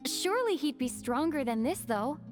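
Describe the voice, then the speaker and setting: A calm young adventurer talks in a fairly high voice. She is practical and understated, in casual game dialogue with music clearly behind her. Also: moderate pace. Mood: thoughtful. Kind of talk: English video game dialogue acting. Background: background music.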